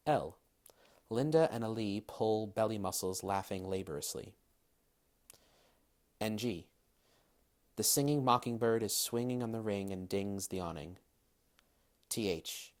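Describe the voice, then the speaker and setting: A man in his thirties from Massachusetts with a mostly general American accent and slight British traces, reading word lists carefully and then answering interview questions in a relaxed, hedging way. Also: on the low side. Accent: Massachusetts, largely general American with slight British traces. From Massachusetts, USA. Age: 30s. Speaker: dialect coach, interviewee.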